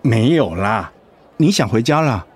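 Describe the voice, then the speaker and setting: An actor playing a devoted, teasing middle-aged husband in a radio drama, with a Taiwanese accent and a coaxing way of talking that easily gets flustered. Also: male voice, medium pitch, sing-song, a little slow, darkish tone, husky. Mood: casual. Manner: coaxing, stammering when cornered.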